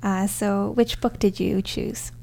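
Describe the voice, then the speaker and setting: A woman's voice: mid-range voice, steady tone, slow, gravelly, a little mellow. A young woman hosting a casual podcast interview.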